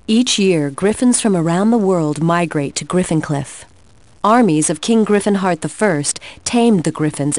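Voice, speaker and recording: A woman playing a resolute, grave warrior queen in a video game, giving war briefings in a measured, formal, commanding way. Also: lowish voice, darkish tone, dry recording, lightly acted.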